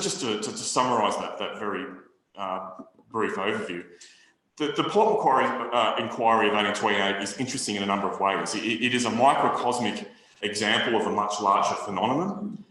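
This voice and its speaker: A man presents a history lecture to a seated audience, his delivery measured and academic, often restarting a phrase. His voice sits a little low but has a bright, forceful edge. The room rings with heavy echo. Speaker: historian giving a talk. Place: hall with audience. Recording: very echoey room recording.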